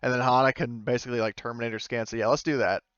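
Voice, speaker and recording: Casting: easygoing male game master, middle pitch, clean voice, close dry sound. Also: steady tone, a bit bright, smooth, dry recording, muffled recording. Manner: halting, informal, easygoing. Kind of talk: casual improvised tabletop game narration.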